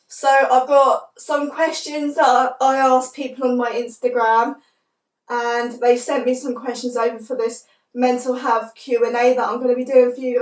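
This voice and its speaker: A young woman with a fairly high voice talks to camera, croaky and stuffed-up from a cold. She rambles softly, leaning on "like" as she goes. The sound is roomy and a little distant, as if the mic sits across her bedroom. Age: young adult. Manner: soft-spoken, rambling, lots of "like". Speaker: vlogger. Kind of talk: casual to-camera chat.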